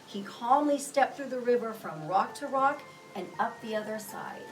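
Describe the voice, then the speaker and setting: Woman reading a kids picture book, expressive, kinda far from the mic w music behind. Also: on the high side, moderate pace. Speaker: storybook reader. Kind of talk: children's picture book read aloud. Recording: roomy echo, distant mic, music behind.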